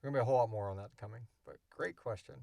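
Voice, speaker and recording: A man with a fairly low voice, recorded dry and close. He talks like a podcast host in a casual chat, rambling enthusiastically and joking as he goes. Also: rapid-fire, vocal fry, warm tone, dry recording.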